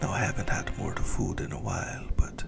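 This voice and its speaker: Man acting as a whispering, gravelly, possessive vampire. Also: deep voice, music in the background. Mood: relishing, intimate. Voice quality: breathy whisper with gravelly creak. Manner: teasing, intimate, savoring words slowly. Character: possessive, doting ancient male vampire dinner host. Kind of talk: whispered ASMR roleplay.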